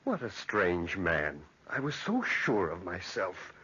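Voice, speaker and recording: An actor in old-time radio drama playing a fussy, suspicious old magistrate who hems and haws pompously. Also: male voice, low voice, sing-song, takes it slowly, pushed and bright, slightly rough, full character voice. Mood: puzzled, impatient. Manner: hemming and hawing, pompous. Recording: dull, lo-fi old radio recording.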